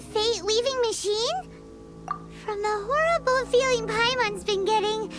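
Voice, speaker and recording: Tiny fairy girl companion, very high squeaky voice, bouncy lively pitch, excitable, game music behind. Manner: talks about herself in third person, excitable. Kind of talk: game voice acting.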